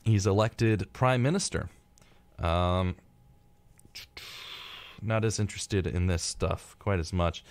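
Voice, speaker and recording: A man's voice: low-pitched, slow pace, pauses often, no room sound. A man co-hosting a casual live radio show, reading through a rundown and tossing in flippant, irreverent asides. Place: radio studio.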